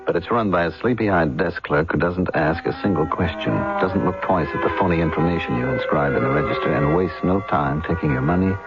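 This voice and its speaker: An actor playing a boastful, brooding seducer with a menacing streak in a 1970s radio drama, in formal, deliberate phrasing. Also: male voice, low voice, close to an everyday voice. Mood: brooding narration. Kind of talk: 1970s radio drama acting, shifting between dialogue and first-person narration. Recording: dull vintage radio recording with music.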